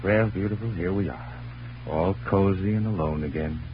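Man playing a low-voiced wisecracking newshound, old radio. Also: moderate pace, natural voice. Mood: sardonic, flirting. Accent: American. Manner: flippant, wordy wisecracks. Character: wisecracking, cocky captive newspaperman, flippant under threat. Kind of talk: 1940s radio crime drama acting. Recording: muffled, noisy old radio recording with hum.

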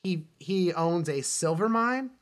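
A man's voice: high voice, animated, unhurried. A man co-hosting a film podcast, chatting casually and telling stories with joking exaggeration. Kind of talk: casual movie chat.

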